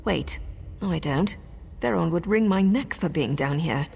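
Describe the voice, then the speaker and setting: A woman's voice: fairly low pitch, animated, measured pace, creaky, darkish tone, slightly rough, heavily acted. Wry roguish adventurer woman, reverberant. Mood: worried. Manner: sly, teasing, conversational. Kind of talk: video game voice acting.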